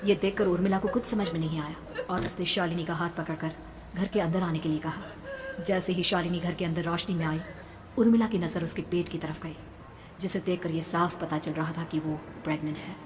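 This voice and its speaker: A woman's voice: on the low side, steady tone, a little fast. A woman telling a horror story as dramatic scripted narration. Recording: long reverb, background noise.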